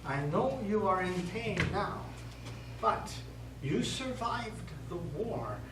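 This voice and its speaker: Stage priest, male actor: gentle, pastoral, measured; animated intonation, heard from far off in an echoey room. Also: high-pitched. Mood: consoling. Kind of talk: stage play acting. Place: theatre studio stage. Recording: echoey stage, distant mic, noisy.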